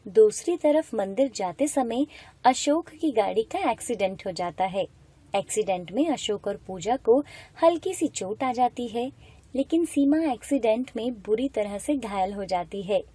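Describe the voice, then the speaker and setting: Female storyteller for animated moral tales: high but mellow voice, scripted delivery, dry recording. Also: measured pace, husky. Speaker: story narrator.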